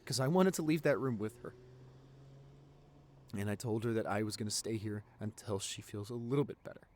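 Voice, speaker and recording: A man playing a sincere, protective young boyfriend in an intimate audio roleplay, rambling earnestly with halting repeats and pauses. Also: lowish voice, darkish tone, slight background noise, close to an everyday voice. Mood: earnest, reassuring.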